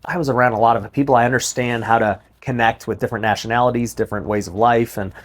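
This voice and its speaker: A man's voice: medium pitch, quick pace. Laid-back podcast host man. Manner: relaxed, agreeable, says "bro" and "like". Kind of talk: casual podcast chat.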